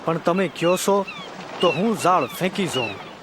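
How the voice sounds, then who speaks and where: High-voiced humble Kathiawadi fisherman. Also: animated, unhurried. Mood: weary, respectful. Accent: Kathiawadi Gujarati. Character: humble, earnest male village fisherman. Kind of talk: dramatised scripture audio drama. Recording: music under the voice.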